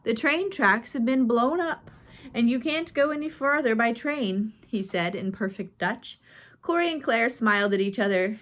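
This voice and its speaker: A woman's voice: fairly high pitch, moderate pace, fairly bright tone. Woman reading a biography aloud. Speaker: audiobook reader. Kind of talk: scripted narration.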